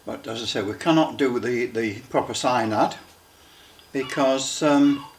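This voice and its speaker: A man giving a casual demonstration as a hobbyist, thinking aloud haltingly and adding tag questions. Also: mid-range voice. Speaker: radio repair hobbyist demonstrating equipment.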